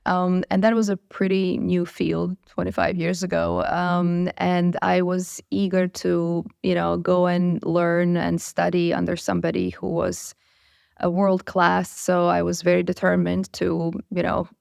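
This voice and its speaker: A woman's voice: lowish voice, fairly even intonation, a little slow, vocal fry, darkish tone, clear, resonant tone, no room sound, clean studio sound. A woman in her forties from Croatia, a biotech chief executive answering interview questions thoughtfully, with frequent fillers and restarts. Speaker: biotech CEO, guest.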